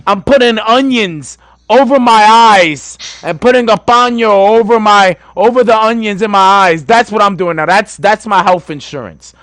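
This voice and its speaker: A man's voice: high-pitched, moderate pace, a bit bright, no room sound. A man co-hosting a podcast, talking in a rowdy, joking way and swearing a lot. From Puerto Rico. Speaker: podcast co-host. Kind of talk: casual profane banter.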